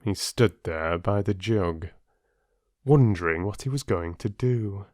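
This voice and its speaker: A man who reads stories aloud and chats to his listeners in a playful, expressive way. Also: low voice, slow, dry recording, clean studio sound. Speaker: audiobook narrator and channel host. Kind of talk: storybook narration and casual chat to listeners.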